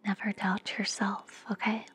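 A young woman whispering gently and reassuringly in a soothing, breathy ASMR style, close to the microphone. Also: medium pitch, little pitch movement, measured pace. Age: young adult. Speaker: ASMR podcast host. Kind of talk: ASMR, soothing guided relaxation and affirmations. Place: indoor room, close up. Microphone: fluffy-covered microphone very close to the mouth.

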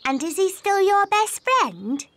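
A performer voicing an excitable, bossy little princess girl in a children's cartoon, in a small childlike voice with emphatic delivery. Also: very high-pitched, slow, a little hiss or hum, exaggerated voice. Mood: sulky. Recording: music bed under the voice.

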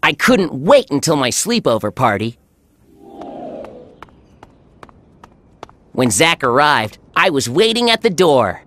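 A performer playing an earnest, friendly schoolboy who narrates a children's animated story in a measured, clearly enunciated way. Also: mid-range voice. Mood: glad.

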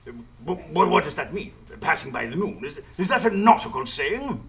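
An actor playing an earnest, formal gentleman sidekick, narrating theatrically in a radio play. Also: on the high side, sing-song, fairly quick, crowd noise. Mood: puzzled.

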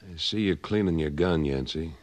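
A man's voice: extremely low pitch, normal speed. An actor playing a tough cattle rancher and former cavalryman who talks in a blunt, pressing way in a 1950s radio western. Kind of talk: 1950s radio drama acting.

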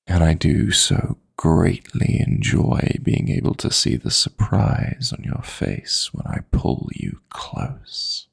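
A man voicing a possessive, flirty yet tender dream demon lover in an intimate ASMR sleep-aid roleplay, coaxing in a husky, breathy voice with formal endearments. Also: deep voice, heavily acted. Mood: teasing, flirty. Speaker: audio roleplay performer.

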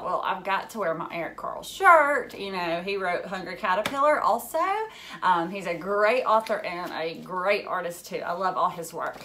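A woman's voice: medium pitch, slight background noise. A woman in her thirties reading a storybook aloud to young children like a teacher, expressively, with questions and little asides. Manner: expressive, drawn-out storybook delivery. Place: furnished living room at home, indoors.

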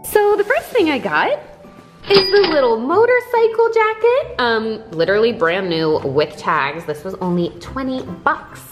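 Young female vlogger, very lively pitch, exclamatory and playful, chatty shopping-haul delivery into a handheld camera. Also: measured pace, faint music behind. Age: young adult. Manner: playful, exclamatory, theatrical asides. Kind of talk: casual shopping vlog. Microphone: handheld camera at arm's length.

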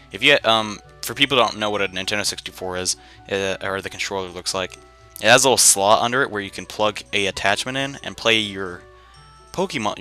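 A man's voice: on the low side, sharp tone. A young man giving casual, rambling game commentary full of slang and jokey asides. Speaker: gaming video host. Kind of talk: casual let's play commentary.

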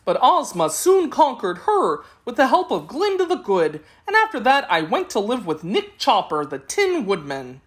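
Give the storybook a straw-stuffed scarecrow man, acted out by a woman. He is cheerful and pleased with himself. He speaks eagerly, in a raised, lively voice. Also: fairly high pitch, moderate pace, few pauses, pitched above the performer's own voice. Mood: proud, cheerful.

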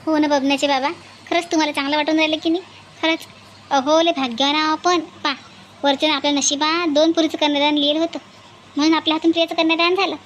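A woman's voice: high voice, level tone, quick pace, fairly bright tone, dull audio, a little hiss or hum. A woman voicing a kindly, bustling village mother in an amateur cartoon comedy, earnest and chatty, with a Varhadi Marathi accent. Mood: happy, teasing.

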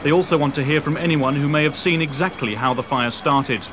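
Male news reporter, slightly high voice, even delivery, noisy old broadcast audio. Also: brisk. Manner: even, matter-of-fact reporting. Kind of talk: scripted news voice-over. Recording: old TV news with background noise.

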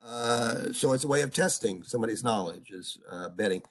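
An older man teaching an online seminar as a professor, speaking haltingly with many fillers and stopping often to put questions to his students over a video call. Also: mid-range voice, animated. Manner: halting, full of uhs, asks students questions. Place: home room on a video call. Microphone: video call computer mic.

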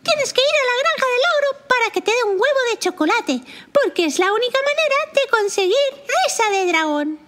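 A woman's voice: very high-pitched, expressive, fairly quick, hardly any pauses. A woman voicing a tiny, helpful young elf in a children's cartoon. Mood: earnest, helpful. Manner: explanatory, earnest. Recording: loud music behind the voice.

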